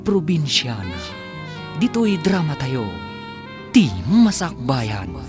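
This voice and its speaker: A man's voice: mid-range voice, wildly swooping pitch, slow, over music. A man announcing an Ilocano radio drama with dramatic scripted narration. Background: loud musical theme.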